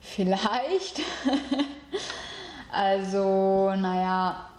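A woman's voice: mid-range voice, very slow, a little mellow, echoey room. A woman answering a street interview, shy and prone to giggling. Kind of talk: unscripted vox pop answer.

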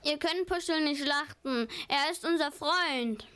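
High-voiced stubborn child played by a female performer. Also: unhurried, pushed and bright. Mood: indignant. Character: stubborn child, loyal and argumentative. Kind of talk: amateur school radio play acting.

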